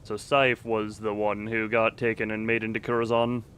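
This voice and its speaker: A man's voice: lowish voice, little pitch movement, normal speed, fairly bright tone, clear, resonant tone. A man livestreaming with casual chat, muttering and thinking aloud in a distracted way. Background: background music.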